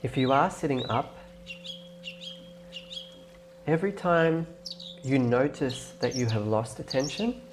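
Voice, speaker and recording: A man leading a guided meditation in a gentle, instructing way, leaving long pauses between phrases. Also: mid-range voice, noisy background. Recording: clear birdsong and nature ambience behind. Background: birdsong, nature ambience.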